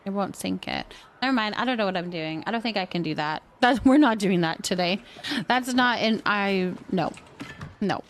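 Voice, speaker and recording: A woman's voice: mid-range voice, normal speed, slight background noise. A woman chatting casually as she hosts a game livestream, thinking aloud and reacting to viewers. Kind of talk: casual chat while playing a building game, reacting to viewers.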